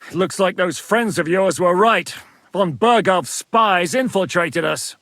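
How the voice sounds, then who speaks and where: An actor playing a brisk, rough-tongued medieval rebel officer in a video game, blunt and authoritative. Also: male voice, high-pitched, animated, fairly bright tone, no room sound, heavily acted. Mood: frank, grim. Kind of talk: video game cutscene acting.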